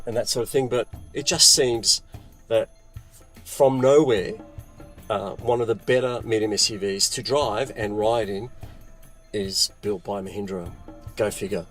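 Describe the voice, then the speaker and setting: A middle-aged man reviewing a car in a conversational, emphatic way with dry humour. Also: medium pitch. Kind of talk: car review presented to camera.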